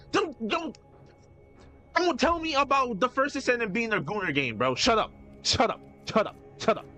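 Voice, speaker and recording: A young man streaming a game, commenting live in an excitable, profane rant full of repeats and restarts. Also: high voice. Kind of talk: ranting live gameplay commentary.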